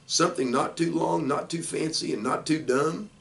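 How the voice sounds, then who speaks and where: A man's voice: fairly high pitch, normal speed, gravelly, pitched above the performer's own voice. An older man voicing tiny, friendly newborn female spiders from a storybook, in short, eager declarations. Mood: curious, friendly. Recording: distant microphone in a room.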